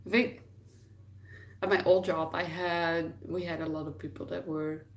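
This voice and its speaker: A woman's voice: lowish voice, level tone, a little slow. Dutch woman chatting, distant from the mic. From the Netherlands. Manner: rambling, thinking aloud. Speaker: live streamer answering viewers. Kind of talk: casual chat, reading and answering questions.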